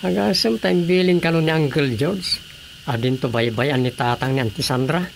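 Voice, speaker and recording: A good-natured family man speaks in a fairly high voice with an Ilocano Filipino accent. He talks in radio drama style, questioning and advising, over faint background noise. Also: moderate pace, vocal fry. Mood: matter-of-fact.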